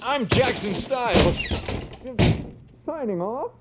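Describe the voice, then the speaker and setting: A man's voice: extremely high pitch, lively intonation, slow pace, very noisy, full character voice. An actor playing a pompous, old-fashioned male radio host who is exuberant and easily flustered, in a florid announcer style for a comedy audio drama. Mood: desperately grand. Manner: theatrical, florid announcer delivery. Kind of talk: audio fiction comedy acting.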